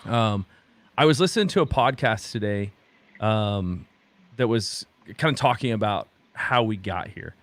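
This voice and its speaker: A man in his thirties from Oklahoma, a pastor talking in a halting, reflective way with many restarts during a candid conversation. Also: fairly low pitch, lively intonation. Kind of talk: candid conversation on a video call.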